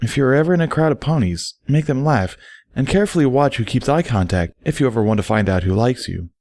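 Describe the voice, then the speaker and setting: A man's voice: medium pitch, lively intonation, quick pace, a little mellow, no room sound, studio quality, voice pushed up, natural voice. A man voicing a confident, teasing, educated female pony who explains things patiently and wordily in a story reading.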